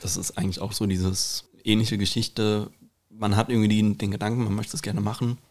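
A man's voice: on the low side, level tone, brisk, a little mellow. A man talking in a casual podcast chat, reflective and informal, with English slang mixed in. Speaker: podcast host and painter.

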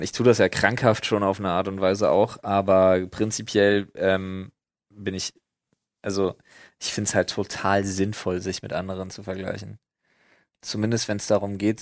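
Blunt man with a lowish voice. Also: no room sound, studio quality. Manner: blunt, opinionated, slangy. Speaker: podcast co-host. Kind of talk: casual chat.

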